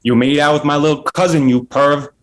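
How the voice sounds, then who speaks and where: A man's voice: on the high side, fairly even intonation, brisk, natural voice. A man playing a menacing, foul-mouthed gunman who taunts, in a screenplay table read. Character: menacing, foul-mouthed male thug with a gun.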